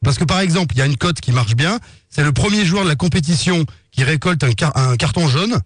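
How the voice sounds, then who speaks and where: A man's voice: a little high, brisk, husky, no room sound, studio quality. A man playing a polite, insistent marketing assistant for a betting company on a prank phone call, coaxing with hesitant sales talk. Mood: insistent, conspiratorial. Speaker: prank caller.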